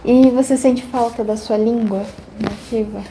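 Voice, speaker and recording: Teen girl interviewer, higher warm voice, short simple questions. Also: fairly even intonation, a little slow, roomy sound, slight background noise, low-quality recording. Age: teens. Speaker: student interviewer.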